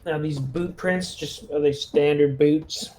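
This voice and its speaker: Higher-voiced, mellow man playing a tabletop game. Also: steady tone, unhurried. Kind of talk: casual game-table chat. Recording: faint noise, keyboard clicks.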